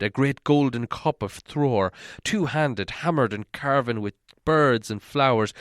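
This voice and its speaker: A man's voice: mid-range voice, clear, resonant tone, no room sound, studio quality. A man reading scripted storybook narration as an audiobook narrator.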